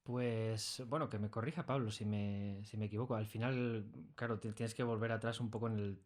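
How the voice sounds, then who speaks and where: A man's voice: lowish voice, fairly even intonation, normal speed, a little mellow. A man, a writer being interviewed in a studio, speaking casually and a little hesitantly as he corrects himself. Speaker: interview guest, audio fiction writer. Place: recording studio.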